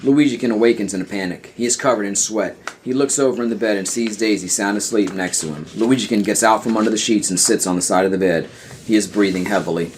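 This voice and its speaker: A man's voice: fairly low pitch, darkish tone, a little hiss or hum. A man reading scripted scene directions as the narrator of an audio play.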